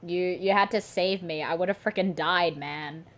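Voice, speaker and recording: Playful low-voiced woman streamer. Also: a little slow. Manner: playful, joking and chatty. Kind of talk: gameplay commentary.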